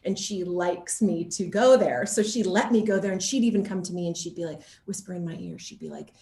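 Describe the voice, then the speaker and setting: A woman's voice: fairly low pitch, a little fast. A woman chatting casually as an interviewed actor, telling stories expressively and acting out quotes as she goes. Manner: animated, expressive storytelling, quoting others as she goes. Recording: echoey room.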